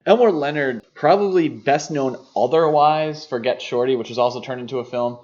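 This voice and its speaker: A man's voice: mid-range voice, normal speed, a little mellow. Dull-sounding man co-hosting a podcast. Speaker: podcast co-host. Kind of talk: casual film discussion. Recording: dull, muffled lo-fi podcast audio.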